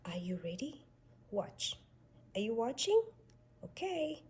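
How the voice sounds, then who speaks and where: A woman reads a picture book aloud for small children. Her voice is fairly high and swings widely in pitch in a sing-song way. She takes it slowly, leaving room for little listeners to follow. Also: slight background noise. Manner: expressive, sing-song delivery for young children. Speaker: storybook reader. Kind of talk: read-aloud children's storytelling.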